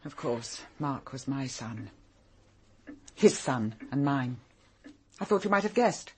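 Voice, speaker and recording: A woman's voice: a bass voice, very animated, takes it slowly, a little mellow, a little hiss or hum, full character voice. An actress playing a bitter, vengeful mature mother in a radio drama, blunt and intense.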